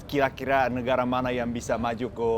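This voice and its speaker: A man's voice: mid-range voice, level tone, fast talker, slight background noise. A man from Japan, a guest speaking casually and with enthusiasm in an interview, laughing often. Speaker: guest, actor and musician.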